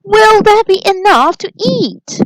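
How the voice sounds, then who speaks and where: A woman acts out a flustered storybook man who frets and screeches. The voice jumps high and swoops around in anxious, drawn-out exclamations. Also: animated, no echo, exaggerated voice, low-quality recording. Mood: panicked, fretful. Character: excitable, easily panicked man fretting as a storybook host.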